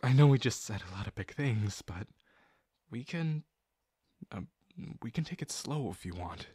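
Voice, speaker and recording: A man's voice: medium pitch, animated, warm tone, breathy edge, no room sound, clean studio sound. A man playing a shy, sincere young guy in love with his best friend, speaking hesitantly and intimately to the listener in an audio roleplay. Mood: tender, hesitant. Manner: hesitant, halting, full of pauses and sighs. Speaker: voice actor.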